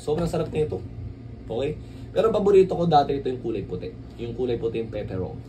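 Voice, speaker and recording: A man's voice: medium pitch, mellow. A man with a Filipino accent chatting casually through an unboxing vlog, rambling and often checking for agreement. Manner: rambling, repeats tag questions like asking for agreement. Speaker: vlogger. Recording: clearly noisy, distant microphone.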